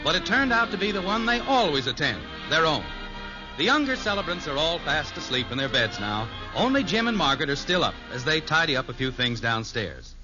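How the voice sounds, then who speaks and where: High-voiced American radio announcer man over music. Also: sing-song, brisk. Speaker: show announcer and narrator. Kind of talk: 1950s scripted radio narration. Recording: muffled vintage radio recording.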